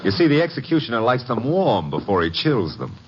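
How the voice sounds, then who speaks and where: A man's voice: mid-range voice, very animated, a little fast, loud music behind the voice, natural voice. An actor playing a breezy, flirtatious detective's legman, delivering slangy, sardonic lines with swagger in a radio mystery. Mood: cocky, flirtatious. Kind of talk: 1950s radio detective acting. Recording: muffled, noisy vintage radio recording.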